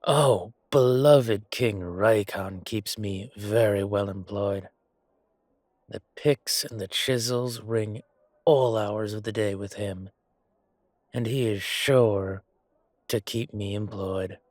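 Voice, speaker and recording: A man's voice: fairly low pitch, slow, darkish tone, dry recording, studio quality. A man voicing a chatty, self-satisfied merchant in a fantasy audio roleplay, with a casual, drawling, hesitant way of talking. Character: male merchant, chatty, self-satisfied, grumbling royal supplier.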